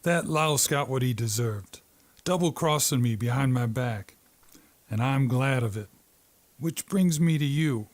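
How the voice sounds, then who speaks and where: A man's voice: mid-range voice, unhurried, natural voice. An actor playing a menacing, arrogant gangster boss in a 1950s noir radio drama, with a sneering, threatening tough-guy delivery.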